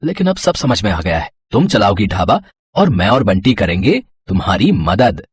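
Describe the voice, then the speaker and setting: A man playing a caring village farmer, husband and father, with a husky voice in a cartoon story. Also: lowish voice, very animated, moderate pace, heavily acted. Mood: earnest, hopeful.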